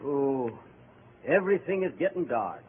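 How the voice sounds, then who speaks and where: A man's voice: medium pitch, big pitch swings, moderate pace, lightly acted. A man playing a boastful, bumbling outlaw leader in a 1940s radio comedy, with exaggerated theatrical delivery. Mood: mock dying. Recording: noisy tinny old radio broadcast.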